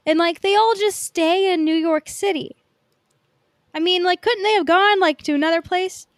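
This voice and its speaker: A woman's voice: very high-pitched, normal speed, dry recording, clean studio sound. A woman co-hosting a podcast in casual movie chat, speaking informally with swearing and plenty of filler "like". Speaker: podcast co-host.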